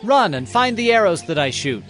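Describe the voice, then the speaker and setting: A man's voice: high-pitched, very animated, moderate pace, a bit bright, faint music behind. A man playing an earnest, loyal young prince in a dramatized Bible story, speaking emphatically. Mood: urgent calling.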